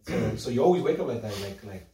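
A man's voice: on the low side, expressive, fast, dark tone. A man hosting a podcast in casual chat, laid-back and mixing Spanish words into his English. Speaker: podcast host. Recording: roomy, mic at a distance.

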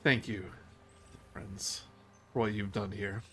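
A man's voice: on the low side, steady tone, fairly bright tone, a little hiss or hum. A man as a solemn, mighty female dragon. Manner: measured, solemn, gracious. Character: powerful female dragon leader, calm and grave.

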